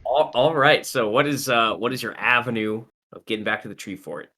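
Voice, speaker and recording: A man's voice: medium pitch, a little fast, pushed and bright, slight background noise. A man running a tabletop game as game master, rambling and circling back with lots of filler words. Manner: rambling, full of "uh" and "like". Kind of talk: narrating a tabletop game over voice chat.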